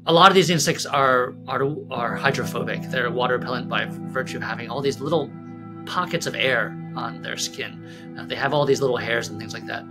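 A man in his thirties, a scientist giving an informal explanation in an interview. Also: mid-range voice. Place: bright office, indoors. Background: plucked guitar music.

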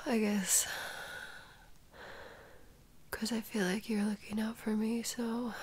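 A woman acting as a sick, sleepy girlfriend in an intimate audio roleplay. She talks very slowly and softly, with a crackly fry in her voice, halting and clingy as she seeks comfort. Some noise sits behind her voice. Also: a little high. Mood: drowsy, relaxed. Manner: soft, drowsy, halting, often whispery.

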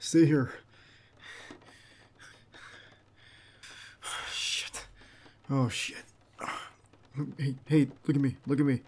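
A man plays a male bodyguard in an ASMR roleplay, earnest and loyal to his boss. His voice is husky and breathy at a middle pitch. He speaks haltingly, tense but protective and reassuring. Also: steady tone, a little mellow. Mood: urgent, alarmed.

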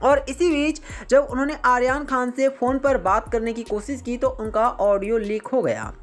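Female news narrator, somewhat high voice, for scripted sensational channel report. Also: moderate pace, soft background music.

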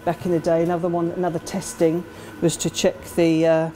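A middle-aged woman giving an informal guided tour, explaining as she walks, with slightly halting phrasing. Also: fairly low pitch, completely flat delivery, dark tone, rough recording. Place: indoors in disused underground station, tunnels and train carriage.

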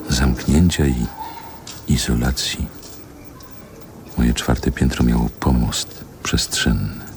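An actor playing a reflective man who recalls his childhood in a wistful, lyrical radio drama monologue, in measured, deliberate phrases with pauses. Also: very deep voice, noisy background.

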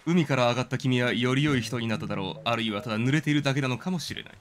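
A man's voice: mid-range voice, very fast, a little hiss or hum, pitched below the performer's own voice, natural voice. A man voicing a laconic, philosophical young male wanderer from a video game in a cool, understated manner. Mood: calm, wry.

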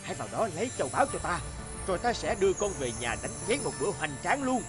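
Greedy cartoon merchant, high and wildly swooping voice, scheming, wheedling, crowing, over music. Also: male voice, big pitch swings, quick pace. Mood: eager, bossy. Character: greedy, scheming middle-aged male merchant. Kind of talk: animated fairy-tale voice acting.

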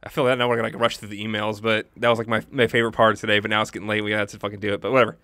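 A man in his thirties talks quickly and loosely on a casual podcast, swearing freely and circling back on his sentences. His voice sits at an ordinary pitch, recorded close and dry with no room sound. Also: dry recording. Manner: rambling, profane, animated. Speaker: podcast host.

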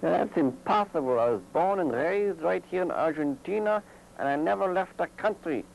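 A man playing an evasive Hitler posing as an Argentine author in an amateur comedy film, bluffing his way through unhurried answers. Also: sits high, lively intonation, gravelly, a little hiss or hum, poor audio.

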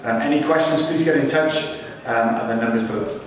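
A young man, an estate agent giving a brisk, upbeat walkthrough of an unfinished house in short clipped phrases. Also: medium pitch. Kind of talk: walkthrough tour of a building site. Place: unfinished timber-frame house and outdoor building site. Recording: echoey bare rooms with loud site noise.

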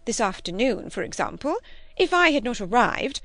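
A woman's voice: on the high side, big pitch swings, moderate pace, fairly bright tone, no echo, soft background music. Woman voicing a prim, swooping genteel spinster chaperone. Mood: alarmed, pressing. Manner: prim, moralizing, emphatic. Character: older genteel spinster cousin, prim, plaintive, alarmist chaperone. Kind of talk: audiobook character acting.

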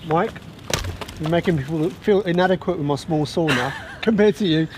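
A man's voice: fairly high pitch, moderate pace. A man holding the camera and asking chatty questions in a woodland. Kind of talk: casual outdoor chat. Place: woodland outdoors. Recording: distant outdoor camera audio, clear noise.

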